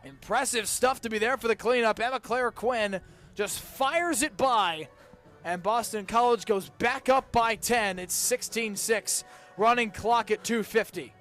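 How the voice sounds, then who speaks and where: A young man calling a college game as a radio play-by-play announcer, with brisk, precise calls that lift sharply on scoring plays. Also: sits high, fairly bright tone, faint music behind.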